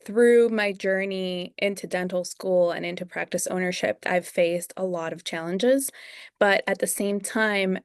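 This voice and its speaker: A woman's voice: medium pitch, clean tone, dry recording, studio quality. A young woman from Venezuela, a dentist and practice owner, speaking as an interview guest in an earnest, sincere way. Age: young adult.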